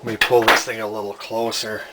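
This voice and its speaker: A man's voice: on the low side, level tone, brisk, very noisy. A man giving casual hands-on repair commentary as a hobbyist, hesitantly thinking aloud as he goes. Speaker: hobbyist radio restorer presenting a repair video.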